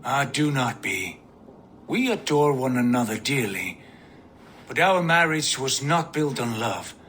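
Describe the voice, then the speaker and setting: An actor playing an earnest, gentle male viking jarl in a video game, speaking slowly and sincerely. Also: mid-range voice, animated, a little hiss or hum. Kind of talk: video game cutscene acting.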